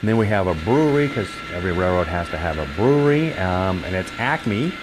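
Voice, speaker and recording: A man's voice: deep voice, very animated, fairly quick, background noise. An older man giving an informal, explanatory tour of a model railroad layout as its hobbyist guide.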